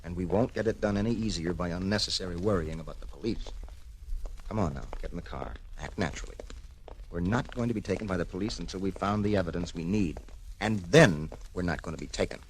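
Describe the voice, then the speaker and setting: Deep, quick-talking male lawyer on the run actor, firm and reassuring, noisy vintage radio drama. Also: lightly acted. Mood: resolute, reassuring. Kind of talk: 1940s radio crime serial acting. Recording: noisy old 1940s radio recording.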